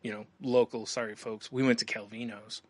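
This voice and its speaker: A man's voice: mid-range voice, little pitch movement, brisk, no room sound. A man co-hosting a podcast, telling a rambling story in casual chat with lots of fillers and frequent laughs. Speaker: podcast co-host.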